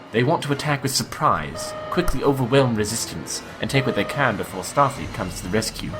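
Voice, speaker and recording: A man's voice: fairly low pitch, close to an everyday voice. A man playing an analytical, skeptical first officer of a starship in a fan audio drama, with music behind him.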